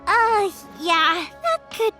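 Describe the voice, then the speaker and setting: A bubbly young anime game heroine with a very high voice and lively, swinging intonation. She is chatty, eager and earnest, a kind-hearted fireworks maker. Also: drawn-out words, faint music behind, heavily acted. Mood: excited, eager. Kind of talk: English anime game voice acting.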